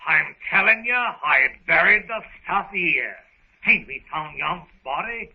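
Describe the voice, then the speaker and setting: An actor playing a vicious, boastful Cockney pirate ringleader in a 1930s radio serial, on an old recording. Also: male voice, fairly high pitch, lively intonation, slow, gravelly, slightly rough, highs cut off, full character voice. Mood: angry, defiant. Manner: menacing, boastful, slangy.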